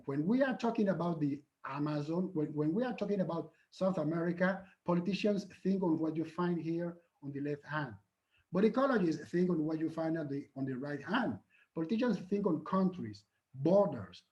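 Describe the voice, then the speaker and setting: Male lecturer, earnest and halting, pitched a little high for a man, on a slightly muffled lo-fi recording. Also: warm tone. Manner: earnest, halting with frequent fillers and restarts. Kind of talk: academic conference talk and Q&A.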